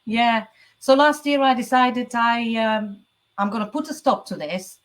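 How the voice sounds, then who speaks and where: A woman in her forties from the UK, a guest telling her story in a casual chat, chatty, with frequent laughs and some stammering. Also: on the high side.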